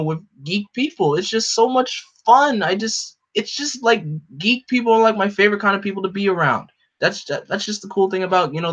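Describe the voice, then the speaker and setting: A young man with a deep voice hosting a casual livestream, rambling with lots of fillers and answering his chat. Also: fairly bright tone. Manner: rambling, lots of "like" and "you know". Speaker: livestream host. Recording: dull lo-fi stream audio.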